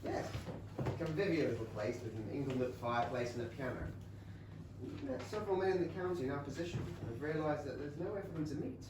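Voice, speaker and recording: A man playing a sociable, earnest English gentleman in a theatrical reading of a period comedy. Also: a little high, expressive, quick pace, natural voice. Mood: genial. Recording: very echoey distant theatre recording, noisy.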